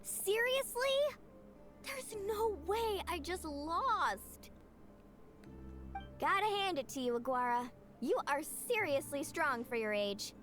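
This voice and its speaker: A woman's voice: extremely high pitch, big pitch swings, slow pace, fairly bright tone, exaggerated voice. A woman playing a spirited, blunt young competitor and good sport in a video game. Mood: gracious in defeat. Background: game music.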